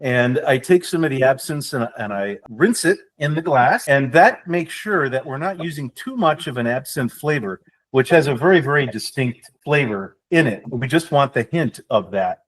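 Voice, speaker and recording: A man's voice: mid-range voice, lively intonation, normal speed. A man co-hosting a podcast, talking through a cocktail recipe step by step as he mixes it. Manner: descriptive, explanatory, with fillers. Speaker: podcast co-host, drink mixer. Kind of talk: casual podcast chat, explaining a cocktail.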